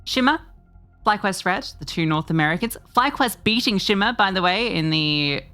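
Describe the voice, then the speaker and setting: A woman's voice: medium pitch, lively intonation, moderate pace, pushed and bright, soft background music. A woman commentating an esports match, with playful, teasing banter toward her co-caster. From Australia. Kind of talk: live game commentary and casual chat.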